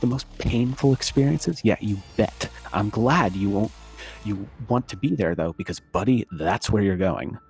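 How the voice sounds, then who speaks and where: A man acts out a pompous, scornful internet commenter in a comedic dramatic reading. His voice sits fairly low but swings widely with theatrical outrage. He speaks in overwrought, condescending declarations over faint music. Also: expressive, normal speed, gravelly, darkish tone, slightly rough, heavily acted. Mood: indignant.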